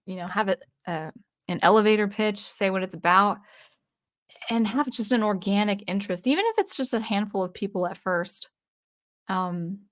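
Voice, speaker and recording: A woman from the US Northwest hosting a casual livestream, reading viewer comments aloud and answering them in a chatty way with frequent restarts. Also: mid-range voice. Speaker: livestream host.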